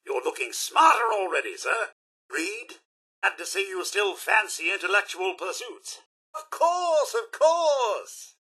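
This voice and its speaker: An actor playing a fussy, chipper robot butler in a video game, with a British accent and a polite, pompous, theatrical manner. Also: male voice, high voice, big pitch swings, a little slow, sharp tone, breathy edge, heavily acted. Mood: chipper, courteous. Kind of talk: video game character voice lines.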